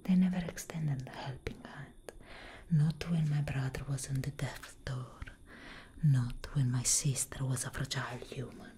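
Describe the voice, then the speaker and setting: Woman playing elder vampire sister: low, breathy whisper, very measured, grave and protective, ASMR roleplay. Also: steady tone, noisy background. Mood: bitter, grave. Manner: slow, intimate, deliberate. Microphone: close microphone.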